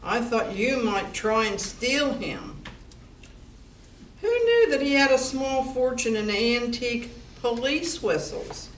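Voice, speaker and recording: A dry, practical bar owner acted by a woman in an amateur stage comedy. She speaks slowly and fairly high. The sound is distant and echoey, with faint noise. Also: animated. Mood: welcoming, wry. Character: wry, practical married woman who owns a bar. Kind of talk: amateur stage comedy radio play acting. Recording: echoey room from far off, background noise.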